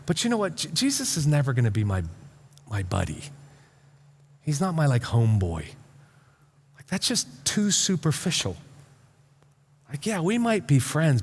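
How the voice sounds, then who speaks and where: Man, pastor, lively swooping intonation, informal sermon, halting repetitions, long hall reverb. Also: fairly high pitch, breathy edge. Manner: informal, halting, repeats words for emphasis. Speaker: pastor preaching. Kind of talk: conversational sermon to a congregation.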